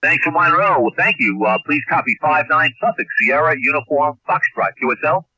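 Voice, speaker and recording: A man's voice: a little high, animated, brisk, sharp tone, dry recording, highs cut off. A man working a radio contest over shortwave, confirming call signs in phonetics. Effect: ham radio transmission. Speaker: amateur radio contest operator. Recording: dry band-limited shortwave radio signal.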